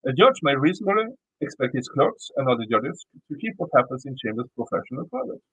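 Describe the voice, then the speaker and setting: Man, Swedish accent, reads court papers aloud, stumbles and restarts words, blunt asides, husky, muffled dry sound. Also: mid-range voice, very animated, quick pace, fairly bright tone, dry recording. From Sweden. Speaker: YouTube commentator. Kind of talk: reading a legal filing aloud with commentary.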